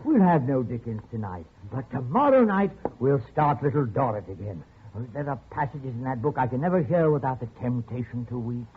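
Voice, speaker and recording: A man's voice: medium pitch, expressive, brisk, close to an everyday voice. An actor playing an obsessive, sly old man who keeps a captive and adores his books, in a 1940s radio drama style. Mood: sly, soothing. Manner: softly condescending, turning to frantic pleading. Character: obsessive old male Dickens lover keeping a captive, sly then desperate. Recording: muffled, noisy old radio broadcast.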